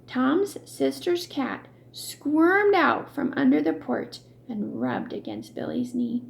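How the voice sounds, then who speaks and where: A woman reading a children's story aloud in an expressive, emphatic, deliberate way. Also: high voice, gravelly. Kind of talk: expressive read-aloud narration with acted dialogue.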